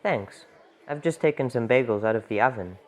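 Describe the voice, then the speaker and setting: A man's voice: medium pitch, expressive, a little fast, dull audio, natural voice. A man acting a genial, casual bakery keeper chatting with his regulars in a radio drama.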